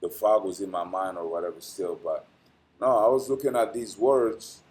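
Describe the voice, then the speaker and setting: A man with a somewhat low voice hosts an astrology channel and reads a dense weekly forecast. He stumbles now and then and fills gaps with "uh", pronouncing each English word with care. His sign-off turns chatty and meandering. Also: normal speed, a little mellow, a little hiss or hum. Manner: reads dense prepared text with frequent "uh" fillers and stumbles; careful pronunciation of English as a later-learned language. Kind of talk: reading a weekly horoscope, then casual sign-off.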